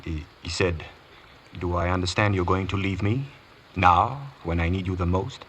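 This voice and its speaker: Deep, solemn valet under oath. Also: male voice, fairly bright tone. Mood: tense, earnest. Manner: earnest, respectful, measured answers. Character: solemn, loyal male valet and war veteran on oath. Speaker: actor playing witness. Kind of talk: 1950s radio courtroom drama acting. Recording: noisy old radio recording.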